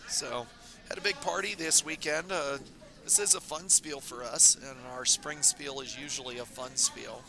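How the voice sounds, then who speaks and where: A man commentating a curling tournament stream in a casual, rambling way, his voice raspy and hoarse from talking all weekend. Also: mid-range voice, normal speed, over music, rough recording. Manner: relaxed, rambling, many fillers. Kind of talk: casual live sports commentary. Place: curling club.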